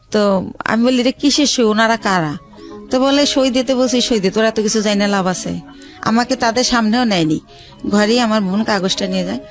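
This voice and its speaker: Hesitant woman recounting her past. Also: medium pitch. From Bangladesh. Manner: hesitant, many pauses and fillers. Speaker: guest telling her life story. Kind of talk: personal storytelling on a radio show.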